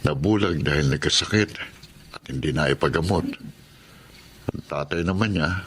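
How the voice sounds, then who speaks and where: A man playing a gruff, cool gang boss in a Filipino radio drama, speaking with unhurried command. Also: extremely low pitch, fairly bright tone, a little hiss or hum. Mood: brooding.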